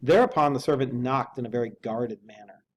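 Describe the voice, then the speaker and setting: A man's voice: medium pitch, fairly quick, a little hiss or hum. A man speaking as an English teacher, reading a novel aloud and explaining it informally.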